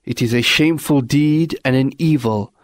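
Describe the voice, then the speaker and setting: A man giving solemn, measured scripted narration. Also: mid-range voice, dry recording. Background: music.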